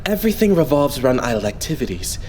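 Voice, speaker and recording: A man's voice: medium pitch, sing-song, a little mellow, close to an everyday voice. Guy doing polite composed idol leader, anime fandub, lilting, faint noise in background. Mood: calm explaining. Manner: polite, explanatory, measured. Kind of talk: anime game fandub acting.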